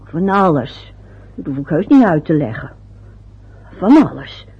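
A woman's voice: sits low, very animated, unhurried, breathy edge, exaggerated voice. An actress playing a fussy, anxious older mother in a radio play, chattering and muttering to herself. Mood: fretful. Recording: dull lo-fi old radio recording.

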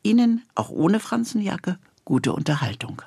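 A woman presenting on the radio with a husky, creaky voice. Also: mid-range voice, big pitch swings, unhurried.

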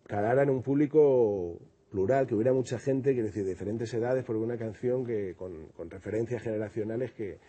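A singer-songwriter from Madrid with a Madrid Spanish accent, talking reflectively in an interview and often restarting and repeating words. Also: male voice, fairly low pitch, a little fast, warm tone, breathy edge. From Madrid, Spain. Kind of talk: relaxed interview conversation.

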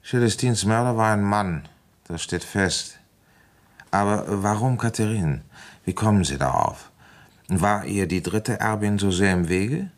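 Low-pitched, probing police inspector. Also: male voice, unhurried. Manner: terse, methodical questioning. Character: dogged, probing French village police inspector. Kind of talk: German radio play acting.

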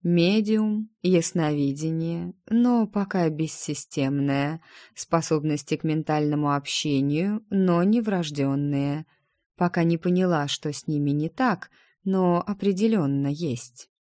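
A woman reading a storybook, voicing a reserved, sad, knowing ghost woman who speaks in a slow, measured, withholding way. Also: deep voice, dark tone, no echo, clean studio sound. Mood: appraising.

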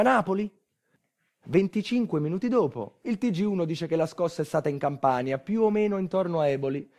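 An animated man tells a story on stage. His delivery is intense and colloquial, swinging from hushed lines to loud ones. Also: a little high. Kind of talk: dramatic narrative theatre monologue.

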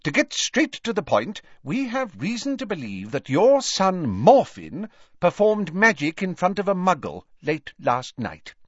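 A man's voice: sits high, huge pitch swings, measured pace, breathy edge, no room sound, highs cut off, voice pushed up, full character voice. A man voicing a firm, polite wizard official in an audiobook, speaking formally and sternly.

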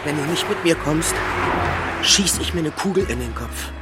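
A man's voice: on the high side, expressive, normal speed, lightly acted. An actor playing a lovesick, eccentric man in a radio drama, pleading insistently and desperately with a bride. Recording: music behind the voice.

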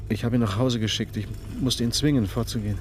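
An actor playing a shell-shocked police detective in a German radio crime drama, speaking haltingly and repeating himself, overwhelmed with emotion. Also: male voice, mid-range voice, fairly even intonation, natural voice.

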